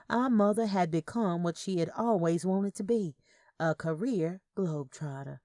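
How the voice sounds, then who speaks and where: A woman narrating a scripted first-person story in a measured storytelling manner. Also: medium pitch, dark tone, no room sound, clean studio sound. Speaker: audio fiction narrator.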